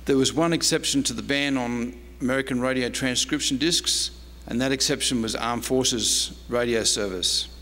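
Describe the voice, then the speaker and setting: A man's voice: medium pitch, moderate pace, hardly any pauses, fairly bright tone. A man with an Australian accent giving a prepared history lecture, largely read aloud, in a reverberant convention room. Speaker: historian and archivist giving a talk.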